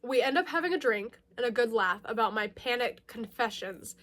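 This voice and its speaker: Nasal, higher-pitched young-sounding woman vlogger. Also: expressive, normal speed, a bit bright. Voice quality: slightly nasal. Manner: giggly, self-correcting, informal. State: lingering mild cold. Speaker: book vlogger. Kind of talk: casual chatty book haul, reading blurbs aloud.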